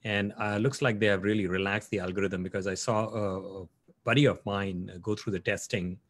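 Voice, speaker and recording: Host, man in his forties, low voice, dry close sound, earnest on a video call. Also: steady tone, brisk, dry recording. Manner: earnest, frequent "you know". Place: home office.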